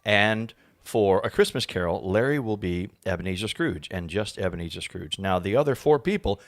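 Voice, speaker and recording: A man with a lowish voice gives a casual, explanatory interview as an actor. He talks haltingly, feeling around for the right words. The recording is dry and close. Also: expressive, no echo.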